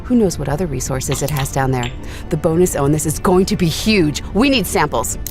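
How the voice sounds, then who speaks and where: An actress playing an ambitious, excitable young female space explorer in a sci-fi audio drama, eager and wry. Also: low voice, a little fast, over music, close to an everyday voice. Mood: excited, greedy.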